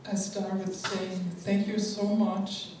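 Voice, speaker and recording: A woman's voice: medium pitch, flat delivery, slow pace, background noise. A woman panelist giving thoughtful closing remarks. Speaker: conference panelist. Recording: echoey hall, distant mic.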